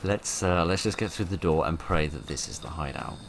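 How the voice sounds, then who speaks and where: Hoarse low-voiced man playing a game. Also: expressive, brisk, very noisy. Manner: chatty, wry, exasperated outbursts at the game. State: getting hoarse. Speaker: gaming commentator. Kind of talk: let's play commentary.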